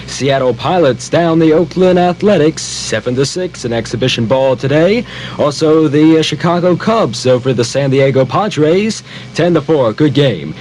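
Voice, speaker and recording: A man's voice: fairly high pitch, darkish tone, a little hiss or hum, low-quality recording. An American man in his twenties doing playful, chatty Top 40 disc jockey patter on a vintage 1970 radio aircheck. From Philadelphia, United States. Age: 20s. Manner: playful, chatty, with interjections and asides. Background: pop records playing under the voice.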